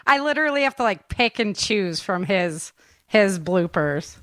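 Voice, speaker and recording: A woman's voice: medium pitch, lively intonation, unhurried, fairly bright tone, smooth, dry recording, studio quality. A woman hosting a podcast in casual chat, with an informal, rambling, giggly way of talking. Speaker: podcast host.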